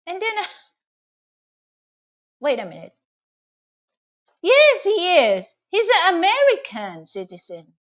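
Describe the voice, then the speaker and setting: A woman's voice: very high voice, huge pitch swings, takes it slowly, long pauses, lo-fi sound. A woman from the Philippines with a Filipino accent, chatting casually to her viewers and rambling with many "you know" and "okay?" fillers. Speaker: vlogger. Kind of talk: casual advice and storytelling to camera.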